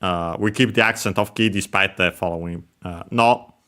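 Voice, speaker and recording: A man explaining Japanese grammar, pausing often with filler sounds as he works through each word. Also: low voice, bright, forceful tone. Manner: hesitant, many filler sounds, careful explanation. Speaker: Japanese language teacher. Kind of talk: explaining grammar over gameplay.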